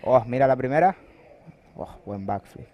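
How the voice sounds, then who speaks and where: A man giving relaxed Spanish co-commentary on a bodyboarding contest, often answering with quick agreement. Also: medium pitch, highs cut off. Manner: relaxed, agreeing, short reactions. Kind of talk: live sports commentary in Spanish.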